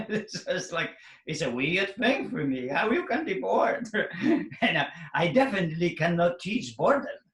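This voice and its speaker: A man teaching a fitness method, talking casually to an audience in halting, non-native phrasing and laughing often. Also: sits high, sing-song, a bit bright, echoey room. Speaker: fitness teacher hosting a workshop.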